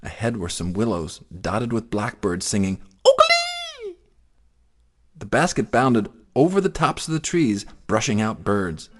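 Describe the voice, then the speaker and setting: A man narrating a children's story in an expressive, theatrical way. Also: lowish voice, moderate pace, few pauses, noisy background. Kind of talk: dramatic children's story reading.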